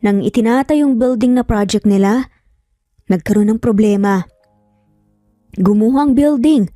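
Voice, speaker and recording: A woman voicing a calm, protective young man, a loyal friend who talks gently and in measured phrases in an audio story. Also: on the high side, no room sound, clean studio sound, faint music behind, close to an everyday voice. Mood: sombre.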